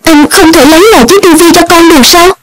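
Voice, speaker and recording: A woman playing an emotional young mother and wife in a cartoon, expressive and exclaiming. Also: very high-pitched, fast. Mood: upset. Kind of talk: cartoon dub drama. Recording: loud music over the voice.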